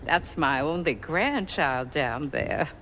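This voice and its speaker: An actress playing an imperious, genteel elderly grandmother in a video game, with an American Southern accent and a haughty, measured, commanding delivery. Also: low-pitched, animated, noisy background. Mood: dismissive, composed. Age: older. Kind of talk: video game cutscene acting.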